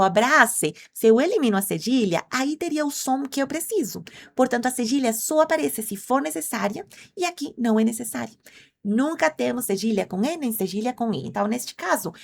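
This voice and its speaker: A woman's voice: a little high, slight background noise. A woman whose first language is Spanish, teaching Portuguese in an online lesson, explaining patiently and quizzing viewers. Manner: clear, patient explaining with drilled conjugations; asks the viewers questions directly.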